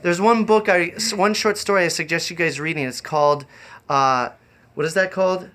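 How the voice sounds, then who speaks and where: A man's voice: high voice, normal speed, a bit bright. A man hosting a casual improvised livestream, rambling and easily distracted, often repeating his phrases as he goes. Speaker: live-streaming host.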